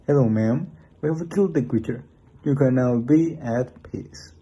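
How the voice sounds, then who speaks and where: A man's voice: mid-range voice, moderate pace, mellow, breathy edge, muffled recording, natural voice. A man acting an earnest, remorseful monster hunter in an amateur radio drama. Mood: reassuring.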